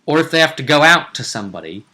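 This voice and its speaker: A man's voice: a little high, big pitch swings, fast talker, pushed and bright. A man casually recapping a fantasy role-play tournament as host, often hesitating and repeating phrases as he recounts it.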